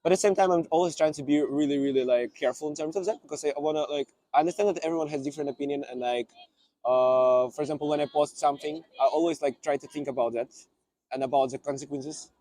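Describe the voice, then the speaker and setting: A man's voice: a little high, quick pace, dark tone. Hesitant young man. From Kyrgyzstan. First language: Russian. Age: young adult. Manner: hesitant, many fillers and restarts. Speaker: interview guest, student. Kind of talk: casual conversation. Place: outdoor city park.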